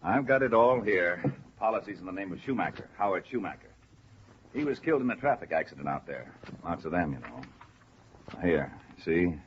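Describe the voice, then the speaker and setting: An actor playing a talkative, puzzled male insurance man in a 1950s radio drama, chatty and deliberate. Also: low voice, very animated. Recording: muffled, noisy old radio recording.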